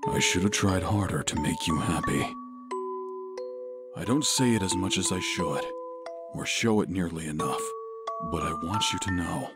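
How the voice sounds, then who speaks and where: Deep, gruff older father acted by a man. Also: moderate pace, pauses often, natural voice. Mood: gruff, tender. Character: gruff, loving older mercenary father, widowed. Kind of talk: comic dub voice acting. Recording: loud music bed under voice.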